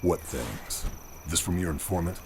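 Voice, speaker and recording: An actor playing a gruff, terse Allied sniper agent in a video game cutscene. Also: male voice, low voice, expressive, unhurried, background noise, full character voice. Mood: terse, businesslike. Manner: terse, understated, unruffled.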